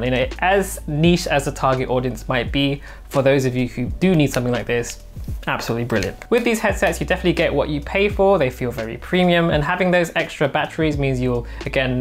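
A man, presenting a product review to camera in a small home studio. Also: fairly high pitch. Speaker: tech reviewer and host. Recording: music bed under the voice. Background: background music.

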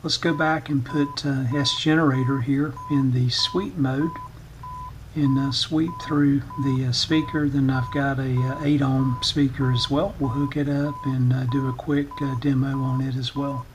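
A man giving an informal hands-on demonstration in short, halting, hesitant phrases, talking at a workbench indoors. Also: mid-range voice, level tone, music in the background, rough recording. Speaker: electronics repair channel host, demonstrator.

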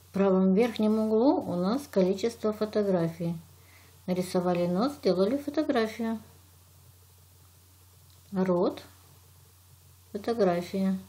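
A woman, a teacher giving step-by-step instructions in deliberate, short, simple phrases. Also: mid-range voice, mellow. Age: 60s. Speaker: children's art and animation teacher. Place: indoor room, plain wall.

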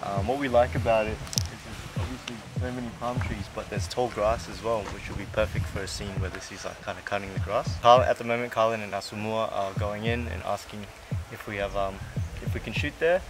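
A man's voice: lowish voice, a little fast, over music. A man in his twenties casually explaining a video shoot to camera as a crew member, outdoors. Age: 20s. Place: outdoors by palm trees. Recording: loud outdoor background noise.

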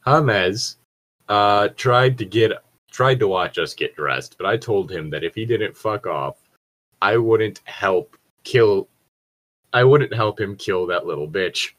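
A man's voice: on the low side, slow, a bit bright. A man reading fan fiction aloud in a casual group session, swearing and dropping joking, sardonic asides. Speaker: co-host reader. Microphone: own mic on an online voice chat.

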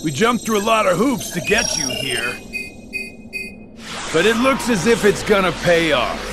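A smug middle-aged male villain from a video game, drawling slowly with sarcasm. His pitch swings widely as he taunts, over clear background music. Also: very animated. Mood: mocking. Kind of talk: video game cutscene acting.

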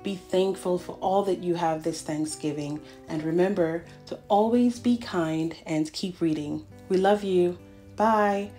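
A woman hosting a children's storytime, reading a picture book aloud with deliberate, expressive phrasing. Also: on the low side. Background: guitar music.

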